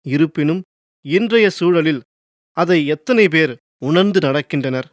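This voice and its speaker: Male narrator, formal, measured, scripted moral narration, fairly high voice, dry studio sound. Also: expressive, dry recording.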